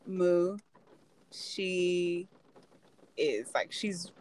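A woman's voice: on the low side, extremely slow, darkish tone, rough recording. Woman, 30s, podcast co-host; loose, rambling, casual delivery. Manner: loose, rambling, restarts lines. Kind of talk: casual chat.

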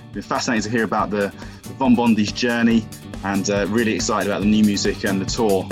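A man hosting a podcast interview, asking quick questions politely and wrapping up. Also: on the low side, level tone, faint music behind. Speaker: podcast host.

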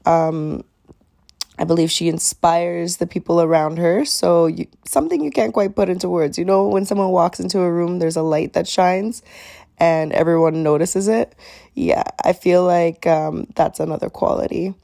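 A young woman hosting her own podcast in a sincere, chatty solo talk, her voice a little raspy today, with frequent "um"s. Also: lowish voice, normal speed, warm tone. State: slightly raspy voice. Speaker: podcast host.

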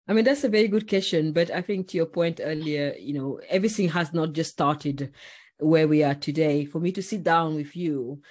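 A woman's voice: low-pitched, moderate pace, a little mellow. A woman from Senegal, a podcast guest telling her story earnestly, with many "uh"s and "you know"s. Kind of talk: podcast interview.

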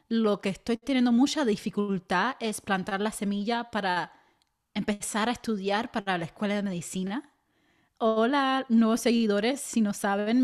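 A young adult woman co-hosting a casual podcast chat, earnest and reflective, with light laughs. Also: on the high side. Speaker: podcast co-host.

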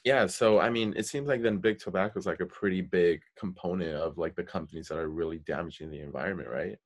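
Deep-voiced hesitant male podcast host. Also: quick pace. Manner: hesitant, filler-heavy questioning. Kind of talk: casual podcast conversation.

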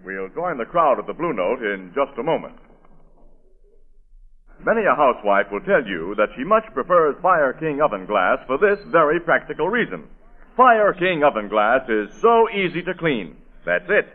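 A man's voice: medium pitch, sing-song, moderate pace. An American man announcing on 1940s radio, reading scripted copy in a polished, emphatic manner. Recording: tinny old radio broadcast, faint music.

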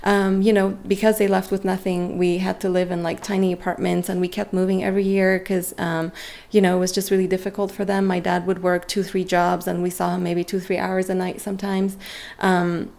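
A woman's voice: on the low side, level tone, fairly quick, vocal fry, a little mellow. A woman in her forties, a native French speaker, talking earnestly and reflectively as a guest in a conversational interview. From Lebanon and Montreal, Canada. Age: 40s. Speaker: interview guest, real estate agent. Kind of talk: conversational personal interview storytelling.

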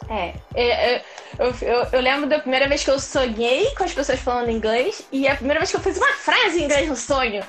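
A woman from Brazil chatting as an interview guest, animated and laughing, with many restarts. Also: high-pitched, fairly quick, soft background music. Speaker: interview guest, English learner. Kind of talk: casual live interview chat.

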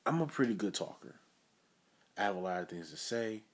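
A man's voice: low voice, very animated, fairly quick. A man hosting his own podcast, giving an earnest, self-assured motivational monologue. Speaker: podcast host.

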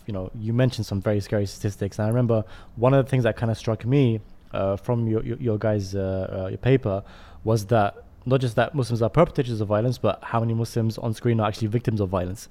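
A man talks at a quick clip as a podcast co-host. His questions are casual and wordy, and he doubles back on words while building up to them. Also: on the low side, fairly even intonation, mellow. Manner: wordy, halting with repeated words.

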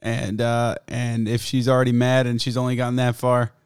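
A young man with a sick, fraying voice rambles through his podcast, cursing freely and laughing at himself. He sits close to the mic in a dead, dry room with no echo, and he talks at an everyday pitch. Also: monotone, normal speed, clean tone. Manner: profane, rambling, self-mocking. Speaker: podcast host. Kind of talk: casual solo podcast chat. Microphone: studio mic, close.

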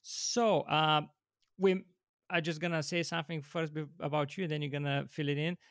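A man hosts a chat, his voice a bit higher than usual with a gravelly edge. He speaks eagerly but haltingly, often breaking off and starting again. The recording is close and dry. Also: fairly even intonation, a bit bright, dry recording. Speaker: YouTube channel host. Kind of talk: casual interview.

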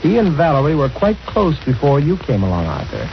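An actor playing a level-headed but suspicious friend who takes charge, firm and persuasive, in old-time radio drama. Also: male voice, fairly high pitch, wildly swooping pitch, fairly quick, gravelly, faint music behind, full character voice. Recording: muffled old radio recording.

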